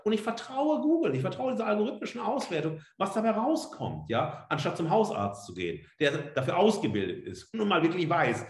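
A middle-aged man giving an academic lecture online as a professor, emphatic, with rhetorical questions and frequent tag words. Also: fairly high pitch. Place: indoor room, on a webcam video feed. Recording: roomy, echoing indoor sound.

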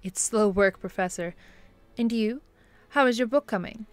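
An actress playing a kindly, long-suffering bishop's wife in earnest, gentle period drama style. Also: female voice, mid-range voice, normal speed, close to an everyday voice. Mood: polite, concerned. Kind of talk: period radio-drama acting.

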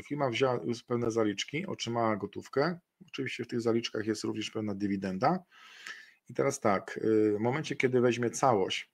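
Middle-aged male webinar presenter, gravelly voice, hesitant, fills gaps with "e", webcam sound. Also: medium pitch. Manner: hesitant, many fillers and restarts. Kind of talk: investment webinar talk.

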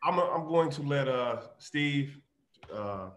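Guy in his 30s hosting a zoom panel, encouraging and thankful, mid-pitch voice. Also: animated, takes it slowly, a bit bright. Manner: grateful, encouraging. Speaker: host. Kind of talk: hosting a panel video call. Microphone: webcam on a video call.

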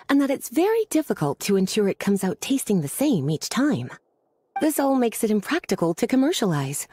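Gentle teasing witch librarian for a game: soft, dark-toned, lively intonation, faint noise behind. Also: medium pitch, slightly rough. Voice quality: velvety, soft. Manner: nurturing, coquettish, leisurely explanatory. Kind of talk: video game character acting.

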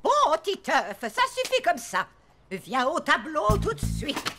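A woman's voice: sits high, huge pitch swings, a little slow, over music, full character voice. A woman voicing a strict older schoolteacher in a cartoon, authoritative and sing-song. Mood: stern. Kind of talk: animated cartoon dubbing in French.

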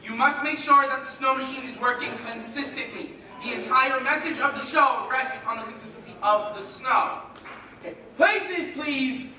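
An actor playing a pompous, unhinged theatre director who talks theatrically and flies into outbursts. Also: male voice, very high-pitched, normal speed, pushed and bright, exaggerated voice. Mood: fussy. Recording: echoey stage from far off.

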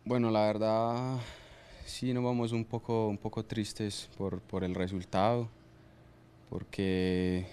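A fairly low-voiced young man answers reporters after a match, his thoughts coming in short halting bursts with repeated words. He talks into a desk microphone in a press room, with a faint hum of ambience behind him. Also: a little mellow. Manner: hesitant, repeats words while searching, laughs easily. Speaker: soccer player. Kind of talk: postmatch press conference answers.

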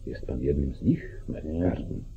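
An actor playing a calculating, commanding judge and politician in an old radio play, speaking deliberately. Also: male voice, very deep voice, creaky, mellow, full character voice. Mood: scheming, commanding. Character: calculating, domineering small-town judge, older male politician. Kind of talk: mid-century Polish radio play acting. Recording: muffled, narrow-band 1940s radio recording.

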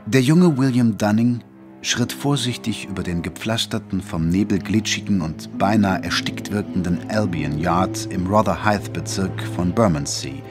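A man's voice: low voice, moderate pace, music in the background, lightly acted. A man playing a grave, literary Victorian chronicler, narrating an audio drama in a solemn storytelling manner. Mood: ominous, hushed.

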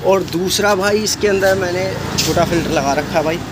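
A man's voice: high-pitched, normal speed. A man, a bike shop owner casually showing off his work on camera, boastful and chatty, calling everyone "bro". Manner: chatty, says "bhai" constantly, boastful. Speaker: bike mechanic and channel host. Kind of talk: casual walkaround video talk. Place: outdoor bike repair shop. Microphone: phone camera, speaker off screen. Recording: noisy street and traffic behind.

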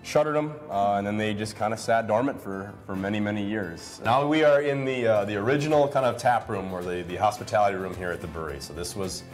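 Man, thirties, lowish voice, informal tour guide, rambling delivery, light music behind. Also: quick pace, few pauses. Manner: informal, rambling, hedging with "kind of". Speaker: brewery representative giving a tour. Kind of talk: casual interview tour. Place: indoors in brewery rooms and stone cellar. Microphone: lapel.

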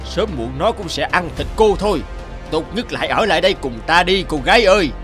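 A man's voice: sits high, expressive, brisk, fairly bright tone, soft background music. A man voicing a villainous, blustering royal chancellor in a cartoon fairy tale, sly in manner.

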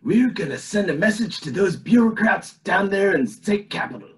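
Pompous, scheming old cartoon tycoon played by a man, raised pitch, very animated delivery. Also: normal speed. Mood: haughty. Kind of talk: amateur cartoon table read. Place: home room, on a video call.

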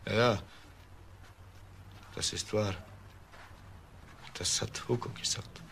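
An actor playing an intense, bitter, lovelorn murder suspect, speaking emphatically and confessionally in a crime radio play. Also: male voice, lowish voice, steady tone, slow pace, gravelly, fairly bright tone, exaggerated voice. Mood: wistful, subdued. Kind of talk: German crime radio drama acting. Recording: faint background noise.

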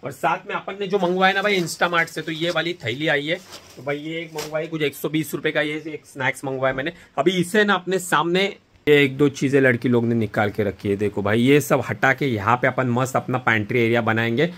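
A man's voice: on the high side, fairly quick, a bit bright. A young man in his twenties, chatting casually to camera in a vlog and filming himself on a phone held at arm's length. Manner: chatty, says "bhai" constantly. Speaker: vlogger and online seller. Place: small indoor office room, also outdoors on a street at night.

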